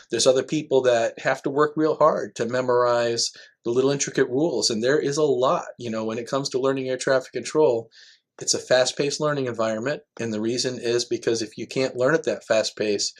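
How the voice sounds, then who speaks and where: A man speaking as an air traffic control manager in a podcast interview, explaining things conversationally with everyday examples. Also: medium pitch.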